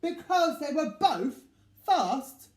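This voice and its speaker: A man reading a picture book to children as an expressive storyteller, with theatrical emphasis and dramatic pauses. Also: extremely high pitch. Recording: echoey room.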